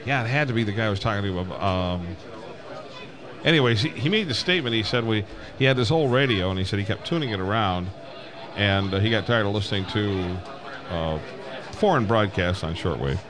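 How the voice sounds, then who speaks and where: A man's voice: on the low side, animated, brisk. A man hosting a casual live radio show, chatting in a folksy, rambling way that circles back and repeats. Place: live broadcast from a busy ham radio convention. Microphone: broadcast microphone with PA amplifier. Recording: crowded convention floor, busy background chatter.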